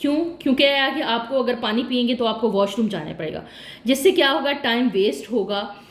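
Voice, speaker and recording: A woman's voice: a little high, fairly quick. A woman giving a casual spoken tutorial on test preparation, explaining by asking and answering her own questions. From Pakistan. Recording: echoey room.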